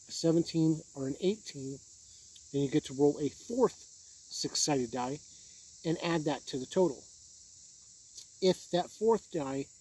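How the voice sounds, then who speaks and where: A man hosting a tabletop game review video, explaining rules conversationally in a husky voice. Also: fairly high pitch, a little mellow, low-quality recording.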